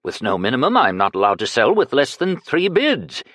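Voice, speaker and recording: A man plays a fawning, frightened auctioneer in an audiobook scene. The voice climbs well above his usual register and lands harder, pleading with lords and droning out bids in a slow chant. The sound is dry and close. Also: mid-range voice, huge pitch swings, fairly bright tone, no echo, pitched above the performer's own voice. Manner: pleading, wheedling, sing-song chanting. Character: nervous groveling male auctioneer, fawning.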